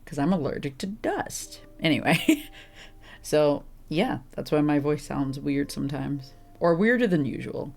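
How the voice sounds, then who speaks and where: A woman with a deep, rough, slightly hoarse and congested voice, rambling through casual gameplay commentary and laughing at herself often. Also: lively intonation, unhurried, a little mellow. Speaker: streamer playing a visual novel.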